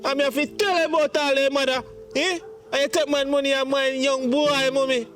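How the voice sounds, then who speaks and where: A man with a Jamaican accent playing a distraught grown son in a domestic drama, pleading and reproachful, with halting, repetitive speech. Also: very high voice, little pitch movement, a bit bright, faint music behind. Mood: upset, indignant. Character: distraught grown son reproaching his mother over money. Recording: faint background noise.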